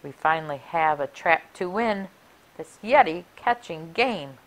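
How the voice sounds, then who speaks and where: A middle-aged woman reading a rhyming picture book aloud for children in an expressive, deliberate, sing-song way. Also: deep voice, slight background noise, low-quality recording. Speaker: library story time reader. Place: small furnished room indoors. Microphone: clip-on lapel mic.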